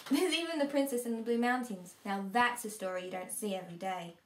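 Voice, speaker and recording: A woman's voice: a little high, brisk, natural voice. A woman playing a friendly older relative who reads bedtime stories in an amateur drama. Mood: friendly, teasing. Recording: roomy echo, faint background noise.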